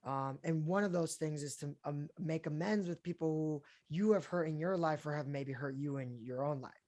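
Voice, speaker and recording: A young adult man, an actor being interviewed, who talks in a relaxed, chatty way with lots of fillers. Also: a little high, moderate pace. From Colombia, raised in Florida and Houston. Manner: relaxed and chatty, with many fillers and easy laughs. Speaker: actor guest. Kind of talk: casual interview talk.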